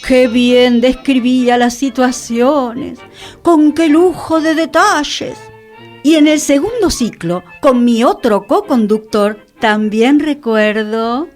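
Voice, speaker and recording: A woman's voice: sits high, takes it slowly, soft background music. An actress playing a curious regal lady of enchantment in a radio play, theatrical and questioning. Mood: wistful.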